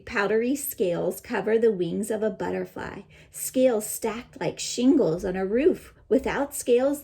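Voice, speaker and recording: A woman teacher reading a children's picture book aloud and pausing to explain it in simple words. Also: medium pitch, vocal fry, mellow.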